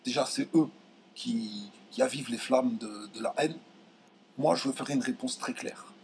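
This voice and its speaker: A young man from France ranting straight to his viewers, indignant and heated, stumbling over his words and pushing his voice up high when he gets angry. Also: normal speed, a little mellow, slight background noise. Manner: indignant, voice jumps high when outraged, many restarts. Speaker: video commentator. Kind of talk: heated direct-to-camera rant.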